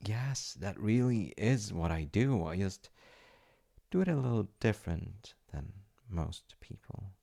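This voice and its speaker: A man playing a polite, eager wizard fiance in an ASMR audio roleplay, with theatrical delivery and soft muttered asides. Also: lowish voice, big pitch swings, unhurried, vocal fry, full character voice. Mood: flustered, reassuring. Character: eager, secretly magical wizard fiance running an enchantment business.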